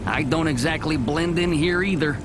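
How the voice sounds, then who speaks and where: An actor playing a streetwise war veteran turned noodle cook in a sci-fi game, blunt and familiar. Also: male voice, on the high side, moderate pace, close to an everyday voice. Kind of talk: video game voice acting. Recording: noisy rainy street ambience.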